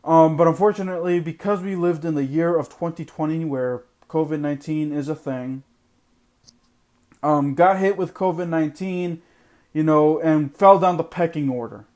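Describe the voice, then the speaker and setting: A man's voice: a little high, normal speed, a little mellow. A man hosting a sports podcast, ranting bluntly and repeating his points for emphasis while losing his voice. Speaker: podcast host. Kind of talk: opinionated sports podcast monologue.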